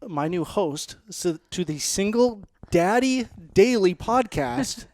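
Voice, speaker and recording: A man's voice: high voice, lively intonation, slow, a little mellow, dry recording, studio quality. A man hosting a casual home podcast, upbeat and joking, swearing now and then. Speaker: podcast host. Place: living room at home.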